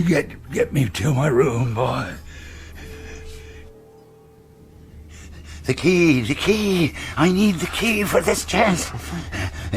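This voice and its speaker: Male actor as rum-soaked old sea captain, gruff, delirious, slow delivery, faint music, radio play. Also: mid-range voice, natural voice. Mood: desperate, pleading. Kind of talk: radio drama acting. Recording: 1980s radio drama recording with music.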